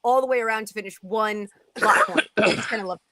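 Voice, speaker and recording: A woman's voice: mid-range voice, fast, bright, forceful tone, no echo. Woman chatting in a dry-sounding space. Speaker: table read participant. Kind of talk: casual fan chat.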